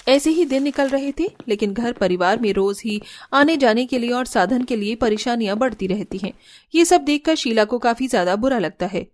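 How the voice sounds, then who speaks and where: High-voiced woman storyteller. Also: lively intonation, normal speed, no room sound. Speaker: story narrator. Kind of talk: scripted narration of a cartoon family story.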